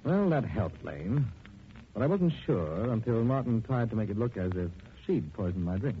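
Suave male detective, animated intonation, dry wit, polished diction, old radio drama, thin noisy sound. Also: lowish voice, moderate pace. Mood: wry explaining. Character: suave, unflappable gentleman detective, dryly witty. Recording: tinny, noisy 1940s radio recording.

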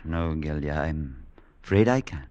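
An actor playing a grave, gentle priest in an old radio horror drama, speaking in a measured, solemn way. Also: male voice, a bass voice, lively intonation, no room sound, highs cut off. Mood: calm concern. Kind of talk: 1940s-50s radio drama narration and acting. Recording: old radio recording with faint noise.

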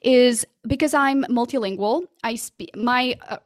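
A woman's voice: on the high side, a little slow. A woman whose first language is Portuguese, a podcast producer talking eagerly in long run-on stretches as an interview guest. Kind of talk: casual interview chat.

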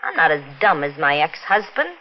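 An actress playing a brazen, shrewd blackmailing widow in a 1940s radio mystery, sardonic and streetwise with coarse grammar. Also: female voice, low-pitched, big pitch swings, moderate pace, a little hiss or hum. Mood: hard, threatening. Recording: muffled old-time radio recording.

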